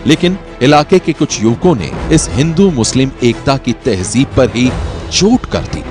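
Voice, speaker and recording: A man's voice: medium pitch, big pitch swings, normal speed. A man narrating a crime news report from a script in an emphatic, theatrical way. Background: background music.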